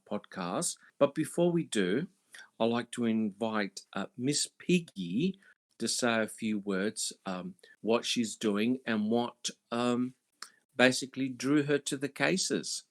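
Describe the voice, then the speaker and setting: A man hosting a casual panel podcast, speaking haltingly with many fillers. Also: mid-range voice, lively intonation. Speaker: podcast host.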